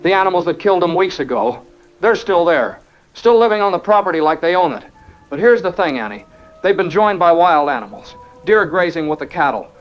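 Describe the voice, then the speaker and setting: A man's voice: high-pitched, very animated, a little fast. A man playing a resigned, sincere ham radio operator speaking solemnly in an apocalyptic radio drama. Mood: grim, wondering. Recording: dull radio sound, rain and music.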